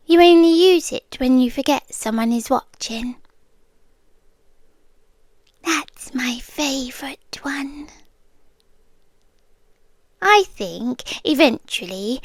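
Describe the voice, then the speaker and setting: A young British woman voicing an obsessive, doting girlfriend in an ASMR roleplay, speaking softly and sliding into close whispers. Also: sits high, dry recording, exaggerated voice. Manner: soft-spoken, drifting into whispers, gently unsettling.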